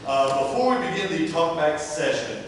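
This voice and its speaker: A man's voice: on the high side, sing-song, moderate pace. A man hosting a theater event, making informal announcements to an audience. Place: large indoor theater hall, distant microphone. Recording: distant, very echoey hall, faint noise.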